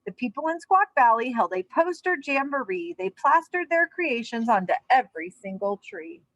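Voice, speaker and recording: A woman storyteller reads to children in a fairly high voice. Her delivery is expressive and animated, rising and falling a lot, and the recording is dry and close. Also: normal speed, no room sound, highs cut off. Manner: expressive, addresses young listeners with asides. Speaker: storyteller reading aloud to children. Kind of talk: animated children's read-aloud.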